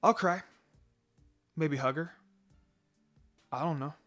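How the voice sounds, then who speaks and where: A man's voice: a little high, slow, a bit bright, faint music behind. A man voicing a brash, moody young boy in a fan fiction story. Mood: offhand. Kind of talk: fan fiction character dialogue.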